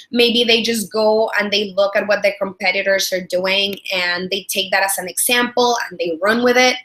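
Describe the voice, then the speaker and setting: A woman's voice: fairly high pitch, a bit bright. A woman in her thirties from El Salvador with a Salvadoran Spanish accent, teaching a business webinar in a friendly way, repeating words and using many fillers. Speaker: product strategist and trainer. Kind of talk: webinar training.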